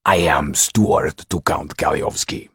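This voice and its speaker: A man's voice: very deep voice, fairly even intonation, unhurried, gravelly, fairly bright tone, slightly rough, no room sound, clean studio sound, exaggerated voice. A man voicing a menacing, haughty steward in a curt, cold, commanding manner for a storybook.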